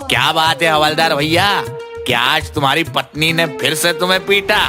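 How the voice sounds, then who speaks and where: A teasing village loafer in a cartoon, acted by a man, talks in a fairly high voice. Music is clearly heard behind him. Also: moderate pace, lightly acted. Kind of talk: cartoon voice acting.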